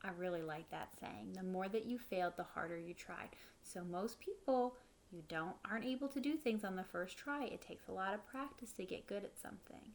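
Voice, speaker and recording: A woman in her thirties reads a children's storybook aloud in a medium-pitched voice. She sounds like a teacher, reading expressively and pausing to explain things simply for young listeners. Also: slight background noise. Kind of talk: children's read-aloud with short explanatory asides. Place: living room at home, indoors.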